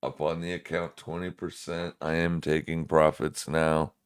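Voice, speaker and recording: A man's voice: very deep voice, flat delivery, normal speed, smooth. A man with a smoker's voice giving casual, laid-back live market commentary to viewers as a streaming trading host.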